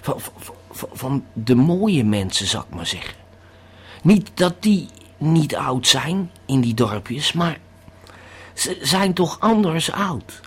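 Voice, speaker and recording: A stammering tourist who has swallowed a pill, as a man plays him in a radio drama. He keeps tripping over and repeating his words at a slow, dragging pace. His voice is husky and breathy. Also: a little high, big pitch swings, exaggerated voice. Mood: rambling, uneasy.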